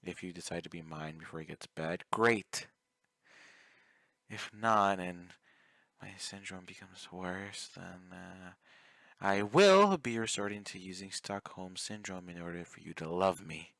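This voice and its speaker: Casting: male actor as obsessive yandere stalker for ASMR roleplay; low, close and dry, coaxing, politely menacing. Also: unhurried, creaky, a bit bright, dry recording, full character voice. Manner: intimate, coaxing, courteous while threatening. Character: obsessive, clingy, soft-spoken young male yandere fan, politely menacing.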